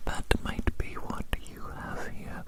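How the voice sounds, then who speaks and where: A person whispering gently and breathily in an ASMR roleplay, soothing and often softly repeating phrases. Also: slow pace. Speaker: ASMR performer playing an examiner measuring a little creature.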